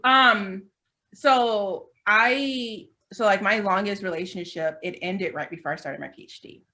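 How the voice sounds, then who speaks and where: A woman's voice: mid-range voice, a little slow, pushed and bright. A woman in her thirties telling candid stories in a casual chat, with lots of fillers and restarts. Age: 30s. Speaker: podcast co-host.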